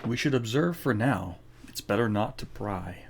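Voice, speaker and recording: Low-pitched man doing casual game commentary. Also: expressive, moderate pace. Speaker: streamer, game player. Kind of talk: casual gameplay commentary, reads dialogue aloud. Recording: faint background noise.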